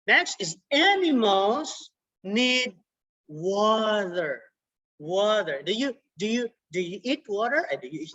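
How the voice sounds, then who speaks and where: A woman teaching a young children's science class over a video call, repeating words slowly for the kids. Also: mid-range voice, sharp tone. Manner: slow, repetitive, drawn-out words for children. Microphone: computer mic on a video call. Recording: dull lo-fi video call audio.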